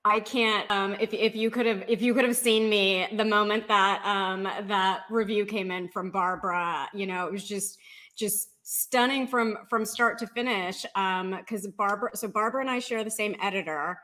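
Woman guest on a video call interview, really bright voice, talking casually about her work. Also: medium pitch, fairly even intonation, moderate pace. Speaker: guest author. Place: indoor room with bookshelves, video call.

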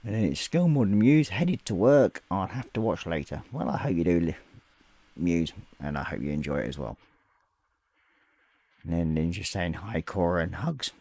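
Croaky-voiced man hosting a hobby livestream. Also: low voice, normal speed, dry recording. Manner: reads chat messages aloud, relaxed and rambling. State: slightly croaky voice. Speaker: livestream host. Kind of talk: casual live-stream chat while painting miniatures. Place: small hobby room at home.

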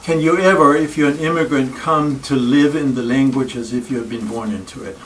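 A man's voice: mid-range voice, fairly bright tone. Older Danish-accented male lecturer, wry and reflective; far-off camera audio with faint noise. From Denmark. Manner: thoughtful and wry, with pauses and self-deprecating asides. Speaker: speaker giving a library talk. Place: wood-paneled library room. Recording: distant room recording with faint noise.

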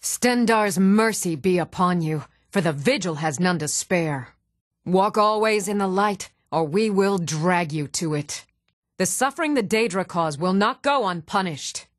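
An actress playing an earnest, dutiful woman of a fantasy realm in a video game, speaking in a measured, composed and formal way. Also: fairly low pitch, no room sound, studio quality, natural voice. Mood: solemn, stern.

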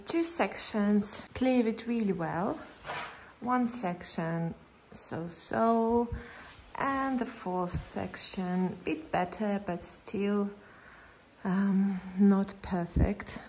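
A woman's voice: medium pitch, a little mellow, slight background noise, poor audio. A woman in her thirties vlogging her house renovation, chatty and cheerful, filming on a phone at arm's length. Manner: chatty, cheerful, laughs often. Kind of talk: casual vlog narration. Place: outdoors on a lakeside hill, then an empty house under renovation. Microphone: phone held at arm's length.

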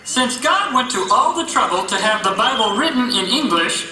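A performer plays an eager, naive puppet fellow who is stubbornly sure of himself. The voice is bright and animated, with lots of swoops. It sounds distant in a very echoey hall. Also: medium pitch, moderate pace, slight background noise. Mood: indignant, puzzled. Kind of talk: children's religious puppet show dialogue. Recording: echoey hall, distant mic, faint music.

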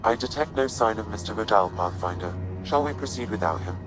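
Guy as polite ship ai, measured n flat feeling, low voice, music behind, sci-fi game. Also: natural voice. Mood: neutral, formal. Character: calm, formal male artificial intelligence assistant. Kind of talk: video game character dialogue.

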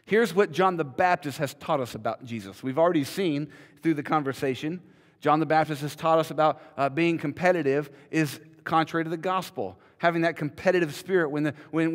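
A man in his thirties preaching a sermon from a church pulpit, emphatic and repeating words as he builds each point. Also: fairly high pitch. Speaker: pastor. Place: large church sanctuary, indoors. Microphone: podium mic, filmed from a far camera. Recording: long hall reverb.

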